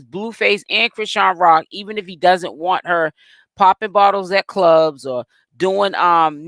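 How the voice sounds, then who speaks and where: Boston guy rambling celeb gossip, kinda high voice, slangy, keeps rephrasing, dead dry sound. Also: bright, forceful tone, no echo. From Boston, Massachusetts. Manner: rambling, self-correcting, streetwise slang. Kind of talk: casual commentary to viewers.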